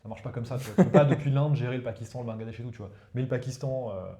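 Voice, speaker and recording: A man from the Ganges plain speaks French, his tongue being Hindi. He explains at a rapid clip in a mellow, somewhat dark voice, dropping "you see" into his casual talk. The recording has a noticeable roomy echo. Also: medium pitch. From India, Ganges plain. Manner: talks fast and explanatory, tags sentences with "you see". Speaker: guest expert, professor.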